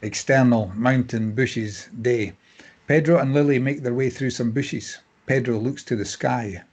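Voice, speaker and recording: A man with a slightly husky voice narrating stage directions from a screenplay at a table read. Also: medium pitch, a little slow, darkish tone.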